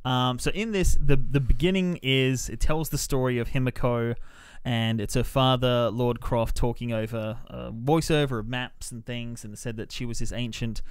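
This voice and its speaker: A man hosting a podcast, explaining things in a halting, informal way as part of a casual film review chat. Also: mid-range voice, steady tone, clear, resonant tone, no echo.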